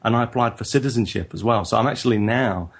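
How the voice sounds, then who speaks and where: A man with a northern English accent, talking in a halting, reflective way as a guest in a casual interview. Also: mid-range voice, husky. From northern England. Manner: halting, reflective, frequent restarts. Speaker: guest photographer.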